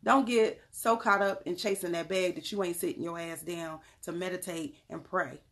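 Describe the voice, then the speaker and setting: Gravelly Southern woman on a livestream. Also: fairly low pitch, fairly even intonation, normal speed, a bit bright. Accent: Southern US, North Carolina. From Charlotte, North Carolina. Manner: emphatic, motivational, blunt with casual cussing. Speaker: livestream host and tarot reader. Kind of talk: casual livestream talk, answering chat messages.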